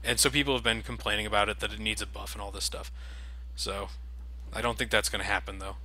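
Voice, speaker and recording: A man's voice: fairly low pitch, fairly even intonation, fast, bright, forceful tone. A young man casually commenting over game cutscenes, joking and critical of the game as the host. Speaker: let's play host.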